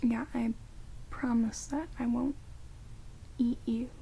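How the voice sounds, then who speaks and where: Woman acting as a vampire caretaker, whispered, dark soft tone, unhurried, teasing, dry, ASMR roleplay, rain noise. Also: a little high, creaky, poor audio. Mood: coaxing, playful. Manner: teasing, dry, casually chatty. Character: sardonic immortal female vampire, rude yet playful caretaker. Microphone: close binaural microphones. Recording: steady rain noise on binaural recording. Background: heavy rain.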